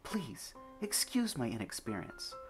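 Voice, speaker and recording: A man voicing a gentle, soft-spoken young female goddess, hushed and kindly. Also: mid-range voice, wildly swooping pitch, a little slow, faint music behind. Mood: gentle, consoling. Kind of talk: fan reading of a story.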